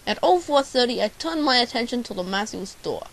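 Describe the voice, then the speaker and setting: A woman's voice: a little high, expressive, fairly quick, a bit bright. A woman playing a bumbling, self-important private detective in an amateur radio drama, with a pompous, theatrical delivery. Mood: self-important narrating.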